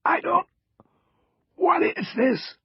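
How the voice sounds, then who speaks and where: A man voicing a frail, paralyzed male ex-cop in an audiobook, with a strained, raspy, halting wheeze and a defiant temper. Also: very high-pitched, lively intonation, no echo, dull audio, pitched above the performer's own voice, exaggerated voice. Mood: strained, confused. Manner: halting, defiant, foul-mouthed.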